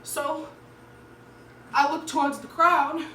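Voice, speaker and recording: A woman's voice: high-pitched, little pitch movement, slow, sharp tone, pitched above the performer's own voice, exaggerated voice. A woman playing an earnest, nervous teenage schoolgirl who tells her story in an emotive dramatic monologue. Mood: frightened. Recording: echoey room, distant mic, faint noise.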